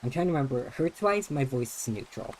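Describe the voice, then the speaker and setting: A man's voice: medium pitch, animated, a little fast, slight background noise, low-quality recording. A young man streaming a game, chatting casually in a neutral, feminine-leaning voice, rambling with many restarts and fillers. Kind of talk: casual live-stream chat while playing.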